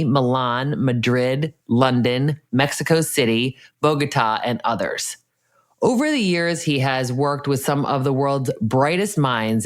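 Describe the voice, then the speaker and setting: A woman hosting a podcast, reading a scripted introduction and then chatting with a guest in an encouraging way. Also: rumbling low. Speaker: podcast host.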